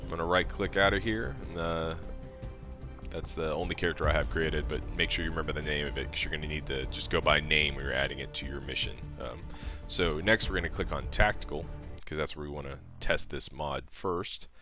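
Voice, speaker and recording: A man's voice: very deep voice, fairly quick, music in the background. A man presenting a modding tutorial as a casual screen-recorded walkthrough, explaining each step in an informal, offhand way.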